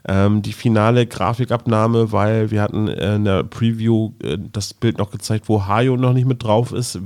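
A man's voice: mid-range voice, darkish tone. A man, a podcast co-host, chatting casually and telling anecdotes in an easygoing way. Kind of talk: casual chat and storytelling.

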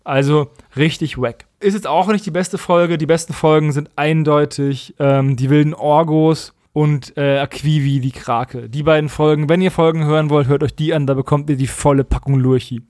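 Guy cohosting a podcast, bit high voice, cheeky slangy storytelling, dry sound. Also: steady tone, moderate pace, hardly any pauses, a little mellow, no echo. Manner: colloquial, mockingly opinionated storytelling. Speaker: podcast co-host. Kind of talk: casual humorous episode recap.

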